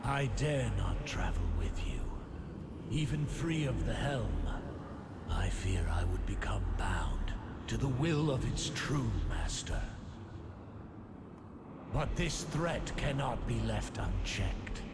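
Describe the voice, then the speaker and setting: A slow, gravelly, grave leader. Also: male voice, fairly low pitch, big pitch swings, very noisy, full character voice. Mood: haunted, grave. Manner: solemn, portentous, deliberate. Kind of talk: dramatic game cutscene dialogue. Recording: loud music behind the voice.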